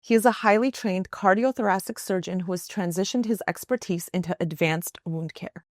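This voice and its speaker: A woman's voice: medium pitch, normal speed, darkish tone, no room sound, clean studio sound. A woman hosting a podcast interview, friendly and effusive as she introduces and questions her guest. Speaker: podcast host.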